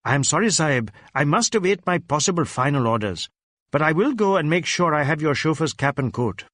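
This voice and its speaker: A man's voice: fairly high pitch, lively intonation, brisk, fairly bright tone, no room sound, clean studio sound. A man playing a deferential, hesitant police inspector in an audio crime drama. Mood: politely firm. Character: meek, deferential male Indian police inspector.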